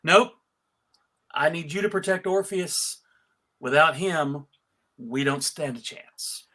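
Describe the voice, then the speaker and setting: Man reading as a bright-voiced, commanding warrior heroine. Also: fairly high pitch, slow, full character voice. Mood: cool, firm. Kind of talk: live comedic table read.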